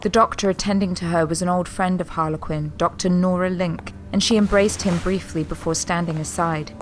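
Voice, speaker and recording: A woman's voice: on the low side, steady tone, a little fast, a little hiss or hum. A woman delivering scripted, dramatic story narration for an audio drama. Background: prominent music.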